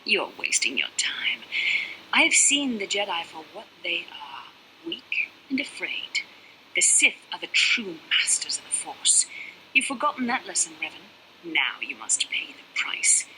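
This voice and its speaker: An actress playing a proud young woman fallen to the dark side, intense and haughty in a dramatic video game style. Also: lowish voice, sing-song, a little slow, in a whisper, exaggerated voice, rough recording. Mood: menacing, proud.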